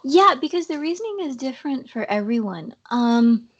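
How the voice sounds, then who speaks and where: A woman's voice: sits high, sing-song, normal speed, highs cut off. A woman guest on a podcast interview, explaining things casually with a slightly scratchy voice. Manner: explanatory, uses fillers.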